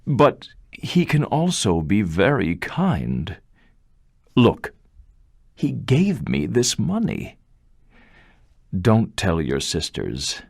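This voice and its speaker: A man voicing a sad, frightened father in a storybook reading, gentle and sorrowful. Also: fairly low pitch, huge pitch swings, extremely slow, vocal fry, long pauses, slightly rough, dry recording, clean studio sound, full character voice. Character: sad, fearful old father.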